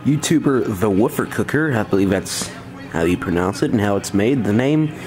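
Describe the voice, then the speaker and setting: Low-pitched man, casual hobbyist demo. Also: lively intonation, normal speed, darkish tone. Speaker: audio hobbyist presenter. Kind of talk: casual handheld demo commentary. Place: room at home with wooden floor.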